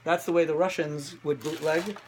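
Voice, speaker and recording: A man's voice: on the high side, steady tone, normal speed. A man chatting casually and jokily to camera as a museum presenter. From New York City. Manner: playful, jokey, chatty. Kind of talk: casual unboxing chat to camera. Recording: clear background noise, live ambience.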